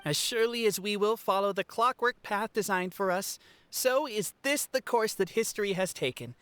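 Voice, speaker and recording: A woman's voice: medium pitch, moderate pace, fairly bright tone, smooth, no echo, lightly acted. Earnest clan member played by a woman, dry sound. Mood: solemn certainty.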